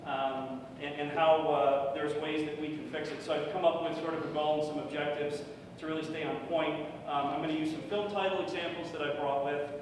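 A middle-aged man giving a casual conference talk, loud and chatty with many fillers. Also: on the high side, little pitch movement, brisk, few pauses. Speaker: conference speaker. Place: large conference room with projector. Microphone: podium microphone from far off. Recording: echoey room, distant mic, noisy.

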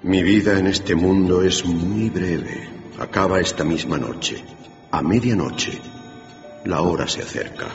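An actor playing a grave, aging Christmas spirit in a radio drama, speaking slowly and solemnly. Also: male voice, low voice. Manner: grave, slow, declamatory. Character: solemn dying giant spirit of Christmas, aging male ghost. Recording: dull radio recording with long reverb, music behind.

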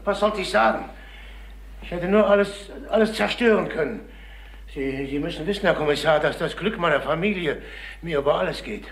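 An actor playing a desperate, middle-aged jobless salesman in a crime radio play, halting and agitated. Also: a little high, close to an everyday voice. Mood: distressed. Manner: halting, agitated, repeating himself. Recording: noisy old radio play recording.

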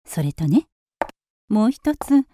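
A woman's voice: medium pitch, big pitch swings, slow pace, noisy background. A woman playing an impulsive, vain upper-class young wife in a radio drama, coy and theatrical as she coaxes. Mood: coy, wistful.

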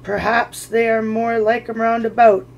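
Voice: mid-range voice, little pitch movement, measured pace, vocal fry, lo-fi sound, slight background noise. A reader doing a menacing suspicious troll.

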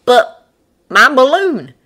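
Storybook Texan airman, performed by a woman: folksy, courteous, quick to argue, lifted animated delivery. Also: medium pitch, pitched above the performer's own voice. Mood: puzzled, insistent. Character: plain-spoken, polite, argumentative Texan man, an aeronaut.

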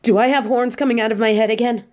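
A woman playing a casual, affectionate, teasing supervillain who gets flustered, speaking haltingly and expressively in an audio drama. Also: on the high side, steady tone, a little mellow, lightly acted. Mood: tired, puzzled. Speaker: voice actress in an audio roleplay. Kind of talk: intimate scripted audio drama addressed to a listener.

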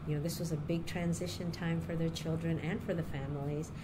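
Low-voiced middle-aged woman, unhurried and chatty. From Puerto Rico, raised near Chicago. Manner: unhurried, chatty, with many ums and restarts. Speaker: interviewee, university diversity director. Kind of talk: oral history interview. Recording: steady background noise.

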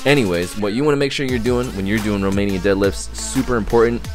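A man's voice: fairly low pitch, fast, faint music behind. A man in his thirties giving casual workout instruction in an upbeat coaching tone, from a living room and then a gym. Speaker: fitness vlogger.

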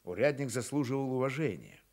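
A man's voice: medium pitch, big pitch swings, a little fast, a little mellow. A man reading scripted literary narration for a radio drama.

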